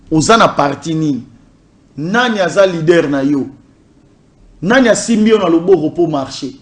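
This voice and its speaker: A man from DR Congo's Congo Central, a party communication officer, speaking emphatically in a political interview and driving points home with repeated lists. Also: on the high side. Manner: emphatic, repetitive listing. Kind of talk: political interview, code-switching.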